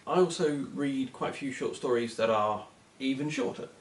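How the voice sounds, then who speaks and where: A man's voice: medium pitch, moderate pace. Distant, echoey man, hesitant book reviewer. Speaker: book reviewer co-hosting short fiction recommendations. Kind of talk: casual book chat. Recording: echoey room, distant from mic.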